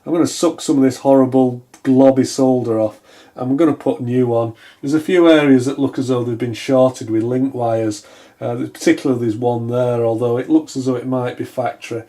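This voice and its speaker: Brief: male hobbyist repairer, soft-edged mellow tone, casual and unsure, thinking aloud at the bench. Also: mid-range voice, fairly even intonation, moderate pace. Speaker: electronics repair hobbyist, video host. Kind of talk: casual hands-on repair commentary. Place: indoors at a wooden workbench.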